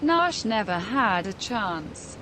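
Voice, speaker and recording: A woman's voice: mid-range voice, sing-song, takes it slowly, background noise. An actress playing an earnest young female adventurer in a fantasy video game. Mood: questioning.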